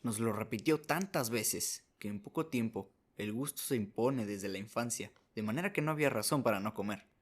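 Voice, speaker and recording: A man's voice: on the low side, moderate pace, few pauses. A man narrating a short story as a scripted radio drama, reading as the narrator.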